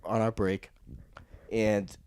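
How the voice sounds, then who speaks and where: Animated storytelling man. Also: on the low side, takes it slowly, clear, resonant tone, dry recording. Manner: animated storyteller, acts out voices. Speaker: podcast guest. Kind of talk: casual chat.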